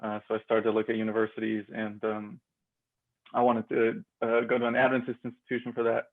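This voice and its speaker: A man's voice: medium pitch, completely flat delivery, fairly quick. A young man in his twenties from Austria, a grad student guest in a casual interview, talking with many fillers and restarts. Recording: tinny phone-like line.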